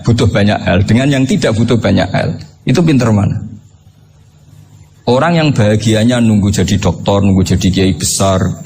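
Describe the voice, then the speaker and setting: A male Islamic preacher with a Javanese accent delivers an informal sermon full of humorous storytelling. His voice sits at a middling pitch, and he talks conversationally, throwing out rhetorical questions. There is faint noise behind him. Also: darkish tone.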